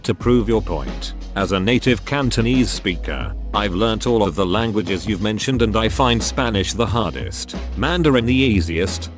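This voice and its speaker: A man's voice: lowish voice, animated, normal speed, few pauses. A man narrating forum posts in a wry, scripted reading. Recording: clear music bed underneath.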